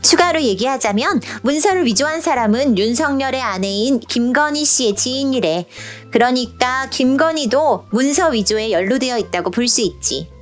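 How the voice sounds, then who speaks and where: A woman voicing a patient young storybook princess who explains step by step in casual, scripted speech for an animated explainer. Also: high-pitched, moderate pace, hardly any pauses. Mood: patient explaining. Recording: music bed behind the voice.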